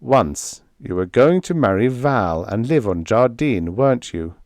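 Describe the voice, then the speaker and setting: Man reading as a calm, sober young space lieutenant. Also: mid-range voice, a little slow, dry recording. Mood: probing. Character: stoic young space guard lieutenant, wry, concerned. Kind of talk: audiobook character voice.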